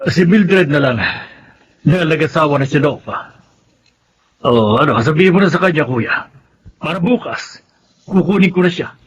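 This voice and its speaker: Casting: man as fair-minded father and boss, middle-aged, Filipino accent, slightly high voice, measured radio-drama acting. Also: animated, dull audio, a little hiss or hum, close to an everyday voice. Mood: considerate.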